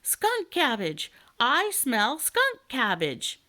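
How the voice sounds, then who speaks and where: Storybook baby dragon, done by a woman: blunt, always hungry, drawn-out emphatic delivery. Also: sits high, wildly swooping pitch, sharp tone, voice pushed up, exaggerated voice. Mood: excited. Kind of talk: children's storybook read aloud.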